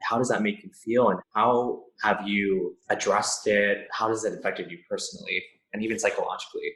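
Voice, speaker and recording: A man's voice: on the low side, steady tone, fairly quick, fairly bright tone. Young man hosting in an echoey room. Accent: American. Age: young adult. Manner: friendly, with scripted intro. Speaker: podcast host. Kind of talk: podcast interview.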